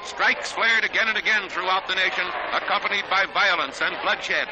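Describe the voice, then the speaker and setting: A man's voice: high-pitched, normal speed, highs cut off, very noisy. An American man narrating a 1940s newsreel in a dramatic, declamatory manner. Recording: 1940s newsreel soundtrack with loud music.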